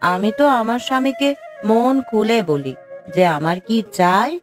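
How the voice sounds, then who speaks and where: Actress as familiar, coaxing village housewife, cartoon tale, slightly high voice, music bed. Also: female voice, animated, measured pace. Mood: chatty. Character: village wives, fond and chatty. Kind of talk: Bengali cartoon story dubbing.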